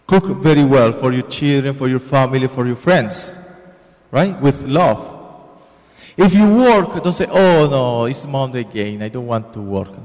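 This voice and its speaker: A man's voice: mid-range voice, a little mellow. A young man from Colombia with a Spanish accent, giving an earnest, repetitive homily into a handheld microphone in a church. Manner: earnest, repetitive, preaching with hand gestures. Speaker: priest. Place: large church sanctuary. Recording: long church reverb.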